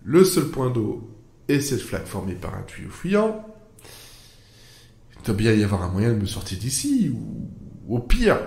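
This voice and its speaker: A man's voice: medium pitch, darkish tone, slightly rough, exaggerated voice. A man playing a bewildered, sarcastic storyteller in a comic audio fiction, rambling in the first person, interrupting himself and addressing the listener. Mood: resigned, frustrated. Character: bewildered, sarcastic male storyteller stranded inside his own wild ideas. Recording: music bed behind the voice. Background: music.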